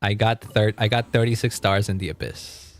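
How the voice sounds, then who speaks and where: A man's voice: lowish voice, little pitch movement, moderate pace, no echo. A man chatting casually on a gaming livestream, rambling and often repeating his phrases. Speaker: live streamer.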